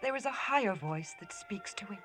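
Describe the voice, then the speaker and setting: A woman's voice: medium pitch, animated, fairly quick, music in the background, close to an everyday voice. A woman playing an earnest, devout young villager who speaks with reproachful feeling in 1950s radio drama. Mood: devoted.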